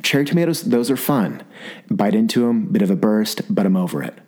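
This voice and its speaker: A man's voice: lowish voice, brisk. A young adult man hosting a podcast with a scratchy, sore-throat voice, talking through a rambling comic monologue with lots of restarts. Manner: rambling, animated storytelling with repeated restarts. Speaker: podcast host. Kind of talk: comedic solo monologue.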